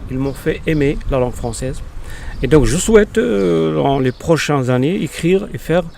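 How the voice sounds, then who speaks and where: A man in his fifties from Morocco, whose first language is Arabic, speaking slowly in an interview with many pauses and fillers. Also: on the high side, lively intonation, vocal fry, dark tone, a little hiss or hum. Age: 50s. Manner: halting, many fillers and restarts. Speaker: interviewed poet. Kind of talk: hesitant conversational interview. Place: local podcast studio.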